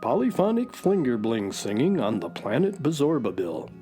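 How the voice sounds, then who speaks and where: An actor playing a bookish, deadpan space horse who narrates and talks in a children's radio drama, dry and slightly fussy. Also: medium pitch, normal speed, faint music behind, lightly acted. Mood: dry, matter-of-fact.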